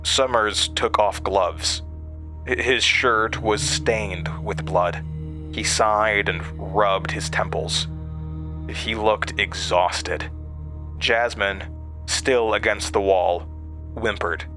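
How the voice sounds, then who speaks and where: An actor playing a haunted man recounting a terrifying memory in a horror audio drama, halting and hesitant. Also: lowish voice, fairly even intonation, over music. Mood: grim, fearful. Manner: halting, hesitant, with stammered restarts.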